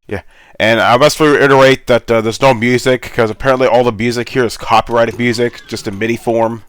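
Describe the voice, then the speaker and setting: A man's voice: medium pitch, fairly even intonation, fairly quick, smooth. A congested man with a sore throat, rambling in a laid-back way as he hosts gameplay commentary over a headset mic. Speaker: gaming channel host.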